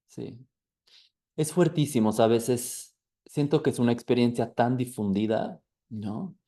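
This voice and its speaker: A man with a Mexican accent hosting a podcast interview, speaking in a soft, reflective way. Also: mid-range voice. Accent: Mexican Spanish. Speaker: podcast host.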